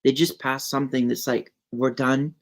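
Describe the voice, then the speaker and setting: A woman from the US West Coast, hosting a podcast and chatting in a relaxed, conversational way. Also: very deep voice, moderate pace. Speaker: podcast host. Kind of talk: casual interview chat.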